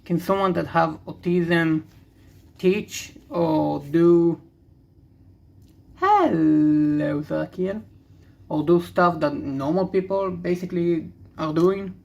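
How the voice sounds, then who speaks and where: Casting: young Israeli man, native Hebrew speaker, gravelly fry, unhurried halting delivery, candid rambling hobby-video host. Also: a little high, steady tone, a little mellow. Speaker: card-opening video host. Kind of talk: casual rambling commentary while opening cards.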